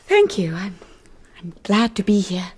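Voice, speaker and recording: A woman's voice: medium pitch, big pitch swings, unhurried, a little mellow, husky. A woman playing a shy, anxious young wife in a radio drama, with an English accent and a hesitant, polite manner. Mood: nervous, polite. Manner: hesitant, polite, self-effacing. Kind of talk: radio drama acting with first-person narration.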